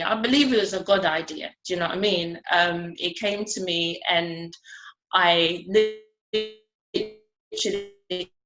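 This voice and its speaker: Soft-spoken woman giving a conference speech. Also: on the low side, low-quality recording. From Ghana. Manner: earnest, conversational, with many "um" pauses. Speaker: artist speaking at a conference.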